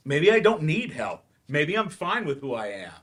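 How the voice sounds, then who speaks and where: A man plays a wounded, sarcastic teacher in a staged drama reading. His voice sits fairly high, and he is defensive and emphatic, with grief under the bitterness. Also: sing-song, moderate pace, a bit bright, slightly rough, exaggerated voice. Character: bitter, sarcastic, grieving man, ex-writer turned remedial English teacher.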